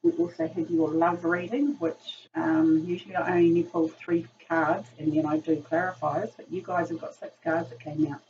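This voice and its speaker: A woman with a croaky voice from a virus, chatting casually through a tarot reading, full of ums. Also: deep voice, steady tone, normal speed, hardly any pauses, darkish tone. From New Zealand. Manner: chatty, filler-heavy, frequent ums. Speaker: tarot reader. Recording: distant lo-fi room mic, faint noise.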